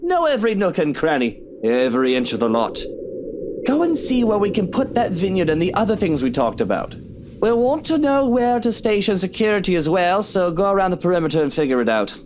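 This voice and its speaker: Pompous rich employer. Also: male voice, high-pitched, big pitch swings, a little fast, a little hiss or hum. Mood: commanding. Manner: haughty, commanding. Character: pompous wealthy male employer, bossy and demanding.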